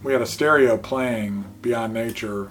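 A man's voice: medium pitch, measured pace, fairly bright tone, a little hiss or hum. A man and father of four, telling a personal story in a casual, anecdotal way.

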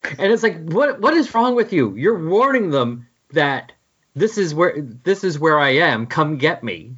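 A man's voice: a little high, very animated, a little slow, a bit bright, slightly rough, lo-fi sound. A man co-hosting a podcast, chatting casually and wryly about comics. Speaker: podcast co-host.

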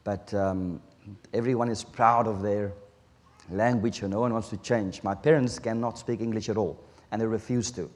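A man from South Africa with an Afrikaans accent, whose first language is Afrikaans, preaching a sermon in an earnest, halting way with restarts. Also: fairly low pitch, steady tone, a little mellow, long reverb tail.

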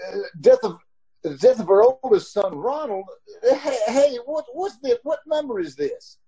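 Distraught young traveler, male, played by a man in old-time radio drama: husky, halting, haunted, unhurried. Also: extremely high pitch, expressive, dark tone, heavily acted, rough recording. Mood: shocked, panicked. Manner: halting, stammering.

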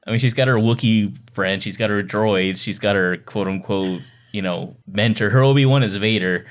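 A man's voice: on the low side, normal speed. A man co-hosting a podcast, talking in an enthusiastic, conversational way in a casual book club chat. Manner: enthusiastic, conversational fan talk. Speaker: podcast co-host.

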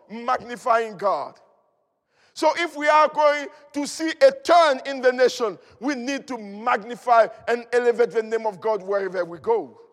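High-pitched male preacher in a reverberant hall. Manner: emphatic, declamatory, repeating key phrases. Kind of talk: fervent charismatic sermon.

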